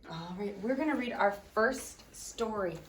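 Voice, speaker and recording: A woman leading a library storytime for children, singing along and reading picture books aloud in an expressive, exaggerated way. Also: mid-range voice, a little slow, slight background noise, low-quality recording. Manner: expressive, exaggerated delivery aimed at small children. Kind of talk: animated children's sing-along and picture-book read-aloud.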